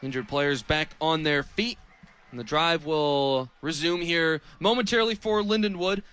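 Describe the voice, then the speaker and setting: A young American man calling live football play-by-play on radio, speaking in crisp, clipped phrases. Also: a little high, moderate pace. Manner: crisp, clipped phrases that build to excited calls on big plays. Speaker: play-by-play radio sports announcer.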